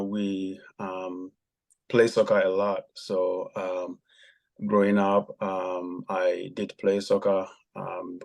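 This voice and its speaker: A man with a somewhat low voice tells his story as a podcast guest. He speaks haltingly, filling pauses with frequent ums. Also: gravelly. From Ghana. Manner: hesitant, many ums and pauses. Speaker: guest, former javelin athlete.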